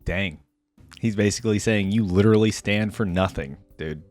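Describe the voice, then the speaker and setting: A man's voice: fairly low pitch, normal speed, a little mellow, soft background music. A man hosting a reaction video, giving informal, slangy, conversational commentary.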